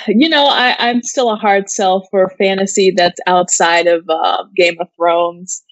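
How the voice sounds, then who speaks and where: A woman's voice: mid-range voice, takes it slowly, no echo, low-quality recording. A woman co-hosting a pop culture show in casual, informal, self-deprecating chat over a video call.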